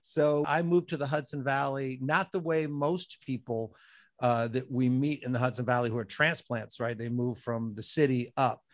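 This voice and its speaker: A man's voice: mid-range voice, fairly even intonation, normal speed, no echo. Man from colorado, podcast guest, easygoing storyteller, dry sound. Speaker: podcast guest, music producer. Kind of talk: casual interview chat.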